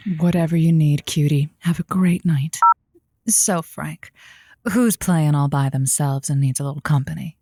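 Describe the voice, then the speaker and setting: Woman as flirty radio advice host, slightly low pitch, dry close sound, sympathetic, coaxing, improvised comedy. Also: steady tone, normal speed, mellow, no room sound. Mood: flirty, reassuring. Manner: soothing, empathetic, coaxing, uses pet names. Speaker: radio call-in show host.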